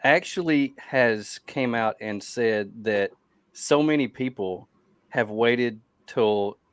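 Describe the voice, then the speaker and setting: A man's voice: mid-range voice, takes it slowly, clean tone, no echo. A man hosting a podcast in a casual, conversational style. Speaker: podcast host.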